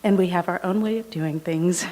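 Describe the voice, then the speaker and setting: A woman playing a guarded, loyal dental receptionist in an audio drama, husky, polite and officious. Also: lowish voice, normal speed, mellow, lightly acted. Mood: guarded, firm. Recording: reverberant hall.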